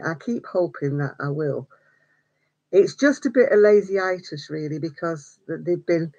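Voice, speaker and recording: A woman's voice: on the low side, darkish tone. An older woman hosting a casual live stream, chatty and greeting viewers by name between little laughs. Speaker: crochet vlogger. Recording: slightly dull home stream audio.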